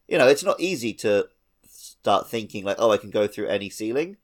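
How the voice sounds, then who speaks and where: A man's voice: lowish voice, expressive, fairly quick. A man co-hosting a gaming podcast, chatting casually and going off on tangents. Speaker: podcast co-host.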